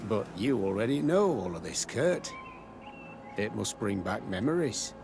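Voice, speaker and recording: An actor playing a guarded, commanding military captain in a video game, speaking in a measured, curt and authoritative way. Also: male voice, fairly low pitch, big pitch swings, gravelly, noisy background, heavily acted. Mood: guarded, official. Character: guarded, commanding male captain running a secret military camp. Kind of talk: video game dialogue acting.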